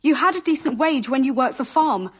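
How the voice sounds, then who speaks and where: An actress playing a gentle, disappointed young wife in a game drama, speaking earnestly and with restraint. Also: female voice, high-pitched, animated, a little fast. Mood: apologetic, reproachful. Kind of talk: game cutscene drama acting.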